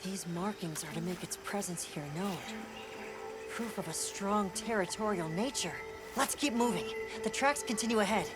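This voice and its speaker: An earnest young investigator in a video game, played by a woman, with a mid-range voice. The delivery is thoughtful, compassionate and measured, over loud game music. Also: medium pitch, lightly acted. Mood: focused. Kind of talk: video game character acting. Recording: game music behind dialogue.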